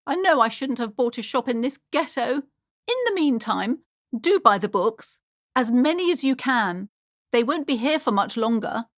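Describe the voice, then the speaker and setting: A woman's voice: fairly high pitch, expressive, normal speed, no echo, studio quality, close to an everyday voice. An actress playing an anxious, superstitious, preachy woman bookshop owner in a video game, speaking in a theatrical, fretful way. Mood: alarmed, dismayed, then prim, preachy. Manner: theatrical, fretful, swinging between gushing and alarm. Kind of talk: dramatic video game character dialogue.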